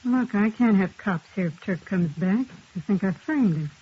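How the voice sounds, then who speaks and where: A criminal's sister, husky and curt, guarded and uneasy in her answers. It sounds like 1950s radio drama, thin and phone-like. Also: medium pitch, expressive, moderate pace. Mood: anxious. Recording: thin, telephone-like old radio recording.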